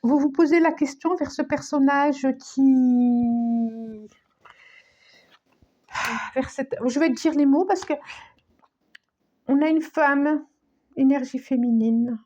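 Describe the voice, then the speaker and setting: Slow, hushed woman with a cold. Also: high-pitched, highs cut off. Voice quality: slow, searching, many restarts and repetitions. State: has a cold, coughing. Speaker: tarot card reader. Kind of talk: unscripted tarot reading.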